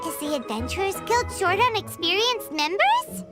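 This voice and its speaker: A woman voicing a small, childlike, excitable fairy companion in a video game, over loud music. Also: extremely high pitch, moderate pace, exaggerated voice. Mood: chatty. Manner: speaks of self in third person. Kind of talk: video game character acting. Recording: loud background music under voice.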